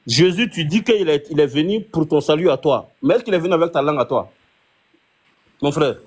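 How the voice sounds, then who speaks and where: A man's voice: on the high side, animated, fairly quick, a little mellow, slightly rough. A man leading a live religious debate, arguing his point with frequent restarts. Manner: argumentative, many restarts.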